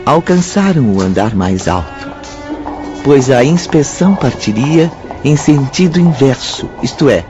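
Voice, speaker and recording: A man narrating a radio drama in a measured, descriptive way. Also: mid-range voice, animated, lightly acted. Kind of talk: scripted narration. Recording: dull lo-fi audio from a distance with music.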